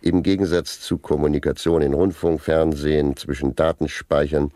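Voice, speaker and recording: An actor playing a pedantic senior narcotics officer in a satirical radio drama, lecturing in formal, bureaucratic language. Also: male voice, extremely low pitch, level tone, moderate pace, warm tone, no room sound. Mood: officious, didactic. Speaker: interviewed police official.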